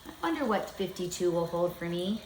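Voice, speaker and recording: A woman's voice: fairly low pitch, expressive, a little fast, a little mellow, roomy sound, a little hiss or hum, rough recording. Chatty woman in her fifties cooking. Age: 50s. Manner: chatty, step-by-step, cheerful. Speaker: home cooking vlogger. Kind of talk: casual cooking demonstration. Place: home kitchen.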